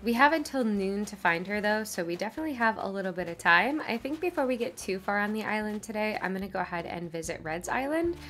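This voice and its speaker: A woman's voice: mid-range voice, lively intonation, quick pace, hardly any pauses, fairly bright tone, a little hiss or hum. A woman with a stuffy, congested nose, chatting casually over her gameplay as a channel host. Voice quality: slightly nasal. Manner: chatty, upbeat, rambling.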